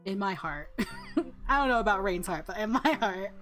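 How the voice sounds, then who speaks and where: A woman with a mid-pitched voice narrates a visual novel as a let's play host. She moves between story reading and casual, playful commentary, with faint music behind her. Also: animated, moderate pace, a bit bright.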